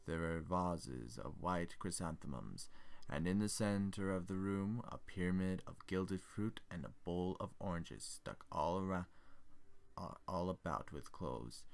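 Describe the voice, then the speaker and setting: Deep, brooding, haunted older gentleman, acted by a man. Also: creaky. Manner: measured, formal, brooding, theatrical. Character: haunted, melancholy older gentleman recounting a ghost story. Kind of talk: dramatic monologue reading from a stage play.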